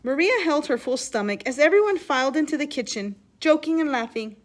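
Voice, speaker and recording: A woman with a fairly high voice reads a children's storybook aloud. She is expressive in her delivery and breaks off now and then to explain or ask her young listeners a question. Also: a little fast, a little hiss or hum. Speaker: teacher reading aloud.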